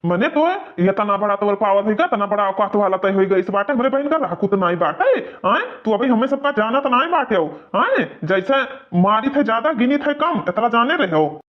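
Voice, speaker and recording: A man's voice: high-pitched, lively intonation, moderate pace, dull audio. A man with an Awadhi accent voicing a protective, blustering village brother in a cartoon comedy. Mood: threatening.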